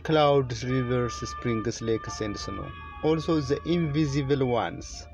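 A middle-aged man from Ethiopia with an Ethiopian accent gives a formal, deliberate prepared speech to camera inside a car. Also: medium pitch, muffled recording, over music. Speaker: presenter, children's author.

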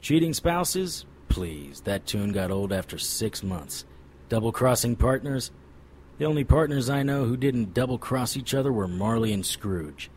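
An actor playing a world-weary, wisecracking supernatural private eye in a noir radio drama, with sardonic old-detective patter and a husky voice. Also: male voice, mid-range voice, expressive, a little slow, darkish tone, slight background noise, lightly acted.

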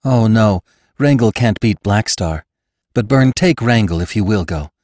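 A man's voice: lowish voice, expressive, measured pace, a little mellow, dry recording, studio quality. A man voicing an anxious, pleading young woman rancher in a western audiobook, with emotional, exclamatory delivery. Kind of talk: audiobook character acting.

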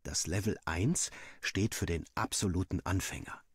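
A man reading a first-person story aloud in an expressive, scripted storytelling style, with a husky voice. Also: low-pitched, normal speed, no echo, clean studio sound.

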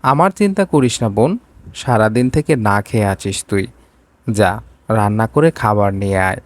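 Ailing big brother for an audio story read by a man, protective, softly chiding. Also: lowish voice, moderate pace, close to an everyday voice. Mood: worried. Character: ailing, caring elder brother.

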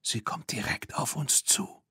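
A man voicing an adventurous, secretive young girl in a children's audiobook, in a hushed, breathy half-whisper. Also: measured pace, no echo, clean studio sound. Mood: hushed surprise.

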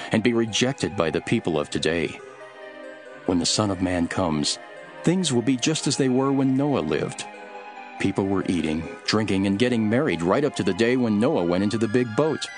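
A man plays a solemn teacher of parables in a scripture audio drama. The voice sits fairly low and moves expressively as he teaches in a measured way. Soft music runs behind him. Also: lively intonation, natural voice. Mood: solemn warning.

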